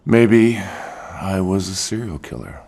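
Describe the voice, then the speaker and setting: Cool male suspect, bottomless deep pitch, husky, taunting, evasive, measured, audio fiction. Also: animated, darkish tone, heavily acted. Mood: taunting, coolly evasive.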